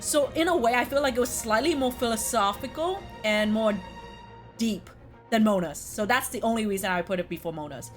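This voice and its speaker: A woman's voice: a little high, fairly quick, soft background music. A woman chatting casually as a co-host, talking in a deliberative way as if thinking aloud. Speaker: co-host ranking video game quests. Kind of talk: casual chat.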